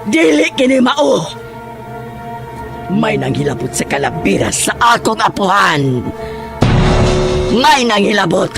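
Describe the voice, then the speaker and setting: Desperate filipino sorcerer, really high voice, slow intense chanting, horror drama, loud music under him. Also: male voice, sing-song, creaky, heavily acted. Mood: alarmed, suspicious. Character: desperate, obsessive male occultist chanting dark incantations. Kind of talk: horror audio drama acting.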